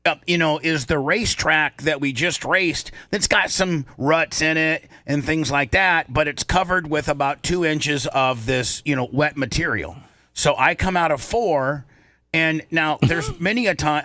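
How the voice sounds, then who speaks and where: A man with a forceful, bright voice tells a story in casual talk show style. He is animated and repeats phrases for emphasis, in a very dry studio sound. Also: on the high side, normal speed, no echo.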